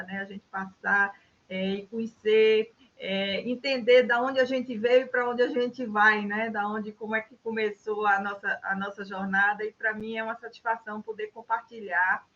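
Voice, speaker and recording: A woman's voice: mid-range voice, bright, forceful tone, highs cut off. An older woman with a Recife Brazilian Portuguese accent, answering interview questions in a chatty way with frequent tag questions and restarts. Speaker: interview guest, innovation specialist.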